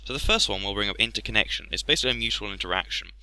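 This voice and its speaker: A man's voice: fairly low pitch, animated, rapid-fire, gravelly, sharp tone, clean tone, no room sound, poor audio. A man giving informal explanatory commentary on a video game.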